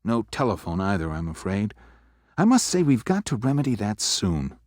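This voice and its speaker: A man's voice: fairly low pitch, big pitch swings, normal speed, darkish tone, dry recording, studio quality. A man playing a genial, fatherly older estate owner who rambles and second-guesses himself, in old-time radio drama style. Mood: fretful.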